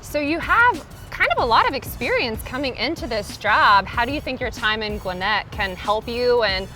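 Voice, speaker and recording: Female American reporter, high, bright and forceful voice, chatty interview questions. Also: lively intonation, normal speed, faint music behind. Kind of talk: casual conversational interview.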